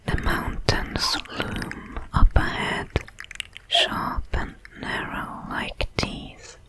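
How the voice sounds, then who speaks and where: A woman voicing a calm, watchful alien predator in a breathy whisper, with formal, measured diction for an ASMR audio roleplay. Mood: calm, observant. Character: many-legged alien predator, calm, watchful, protective, coldly curious.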